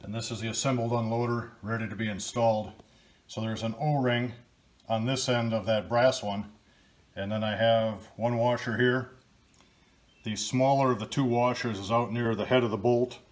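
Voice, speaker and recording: A man's voice: medium pitch, steady tone, normal speed. An older man from Michigan narrating a do-it-yourself repair video in a plain, practical, step-by-step way. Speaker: DIY video host. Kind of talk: casual how-to narration. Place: workshop, table of pump parts.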